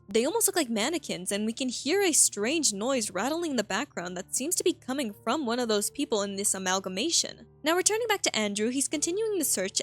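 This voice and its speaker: Lively, higher-voiced woman narrating over music. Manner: conversational, interjecting own reactions and rhetorical questions. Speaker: video host analysing a horror web series. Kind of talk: scripted narration with casual asides. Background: background music.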